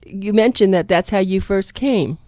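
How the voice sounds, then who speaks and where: A woman's voice: medium pitch, normal speed, a little mellow, dry recording, studio quality. A woman hosting a radio interview, asking questions and giving short affirmations. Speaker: radio show host.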